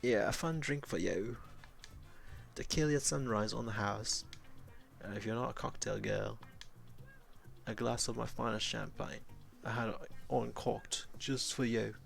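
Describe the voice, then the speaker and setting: Guy doing a flirty pushy lady bar host from a story, creaky, insistent, music behind. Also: lowish voice, a little slow. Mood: coaxing. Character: pushy, flirtatious woman hosting a bar night.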